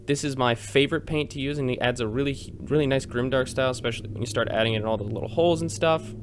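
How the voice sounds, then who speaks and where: A man's voice: medium pitch, fast talker, noisy background. A man narrating a step-by-step tutorial in an informal, chatty, explanatory way. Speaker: host of a miniature painting tutorial.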